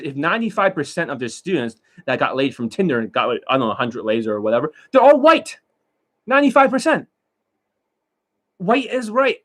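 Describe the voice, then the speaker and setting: A man lecturing as a dating coach in a blunt, profane rant, swinging between loud outbursts and low muttering. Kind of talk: ranting monologue lecture.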